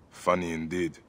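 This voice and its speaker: An actor playing a stern, grim warrior hunting a killer, low, measured and grave. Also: male voice, slight background noise.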